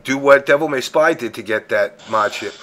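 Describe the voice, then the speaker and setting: A man's voice: medium pitch, normal speed, fairly bright tone. A man with a Brooklyn accent and a smoker's voice, hosting a casual gaming chat and teasing guests. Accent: Brooklyn, New York. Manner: teasing, profane, repeats himself. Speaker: podcast host.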